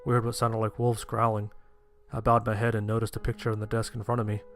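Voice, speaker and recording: Guy playing nervous young survivor dude narrating a horror story, plain flat delivery, music behind him. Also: on the low side, quick pace. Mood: narrating, tense. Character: sheltered, anxious young man narrating his survival story. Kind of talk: first-person horror story narration with dialogue.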